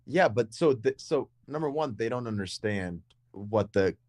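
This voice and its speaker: Deep-voiced young man rambling in chat. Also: lively intonation, measured pace, a little mellow, no room sound, clean studio sound. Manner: rambling, repeats words and restarts often. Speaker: podcast co-host. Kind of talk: casual conversation.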